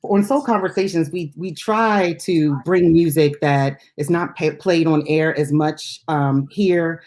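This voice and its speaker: A woman's voice: deep voice, unhurried, darkish tone. A woman co-hosting a casual, unscripted talk show, chatting in an enthusiastic way. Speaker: music talk show co-host. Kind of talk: casual unscripted music chat.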